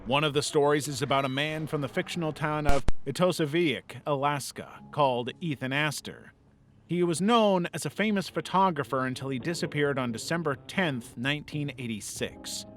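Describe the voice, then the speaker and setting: A man's voice: fairly high pitch, normal speed, a bit bright. A man reading a scripted narration in the role of a video essay narrator. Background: background music.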